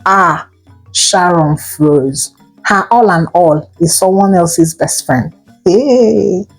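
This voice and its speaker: A Nigerian woman narrating a folktale in a theatrical, expressive style, drawing out words and exclaiming as she goes. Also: fairly low pitch, takes it slowly, soft background music. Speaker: storyteller. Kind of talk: dramatic folktale storytelling narration.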